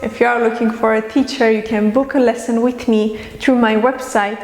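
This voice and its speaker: A woman gives a language lesson, speaking patiently and clearly and repeating phrases slowly. Her voice sits on the high side. The recording carries a long, roomy reverb. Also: little pitch movement, darkish tone. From Armenia. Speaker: language teacher. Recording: echoey room with long reverb.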